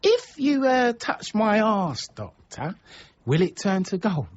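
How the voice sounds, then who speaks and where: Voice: mid-range voice, big pitch swings, slow, no echo. An actor in a radio drama playing a cheeky, grumbling accomplice with an impudent manner. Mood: teasing. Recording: dull lo-fi radio recording.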